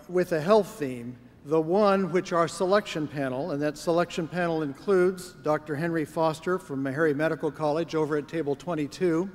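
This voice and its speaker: A man giving a formal, measured prepared speech as an award presenter. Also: fairly high pitch. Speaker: award presenter, foundation executive. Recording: echoey large hall.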